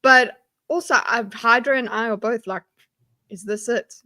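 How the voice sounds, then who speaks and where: Woman, panel host, fairly high voice, casual group chat, playful mock-serious delivery. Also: fairly even intonation, moderate pace, bright, forceful tone.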